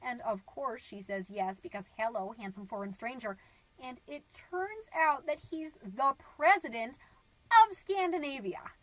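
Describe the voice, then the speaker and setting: A woman's voice: a little high, measured pace, lightly acted, poor audio. A woman playing a middle-aged suburban stay-at-home mom, gossipy and naive, rambling through a comedic podcast monologue with folksy, dramatic emphasis. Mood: chatty, gossipy. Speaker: podcast host.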